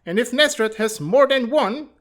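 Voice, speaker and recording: A woman's voice: on the high side, expressive, normal speed, natural voice. A woman playing a brash, self-serving commander, blunt and skeptical, in a dramatic comic fandub. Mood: challenging.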